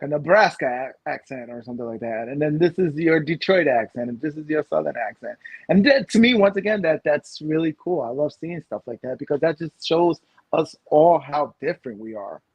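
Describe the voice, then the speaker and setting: A man in his thirties from the United States, co-hosting a casual podcast chat, animated and rambling with lots of fillers and restarts. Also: a little high, breathy edge, dry recording, lo-fi sound. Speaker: podcast co-host.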